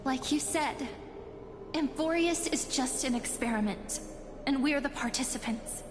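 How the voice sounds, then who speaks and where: High-voiced, resolute game heroine. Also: female voice, a little slow, noisy background. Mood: calm, resolute. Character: calm, defiant young heroine reasoning against her foe. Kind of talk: video game story voice acting. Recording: reverberant game audio under music.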